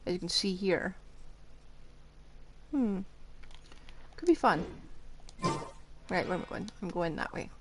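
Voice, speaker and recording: Animated woman streaming a game. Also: fairly low pitch, slow, long pauses, over music. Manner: chatty, talks to herself while playing. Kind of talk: casual live gameplay commentary.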